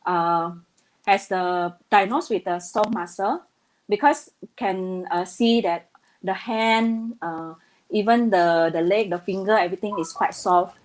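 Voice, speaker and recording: Woman, 30s, from Indonesia, interview guest; earnest, halting delivery with frequent fillers. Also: medium pitch, low-quality recording. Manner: hesitant, many fillers, earnest. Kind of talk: casual interview talk.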